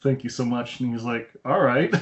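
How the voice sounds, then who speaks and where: A man with a mid-pitched voice chats as a film podcast co-host. He rambles conversationally, and the internet call leaves his sound dull and lo-fi. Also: normal speed. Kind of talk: casual film review chat.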